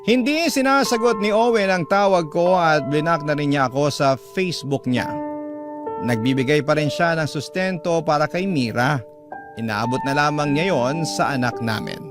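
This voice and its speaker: A man's voice: on the high side, animated, moderate pace. A man narrating a listener's letter in the first person, in an emotive classic radio style. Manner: emotive, addresses the letter to the host. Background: loud guitar music.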